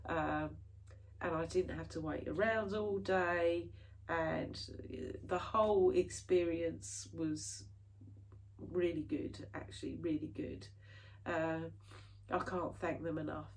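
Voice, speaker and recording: A woman's voice: low voice, steady tone, takes it slowly, creaky, recorded from a distance, slight background noise, poor audio. A British woman chatting casually to her viewers in a rambling way, full of fillers and restarts, her nose a bit stuffy from hay fever. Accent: British English. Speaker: cross-stitch vlogger. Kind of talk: casual chat to camera.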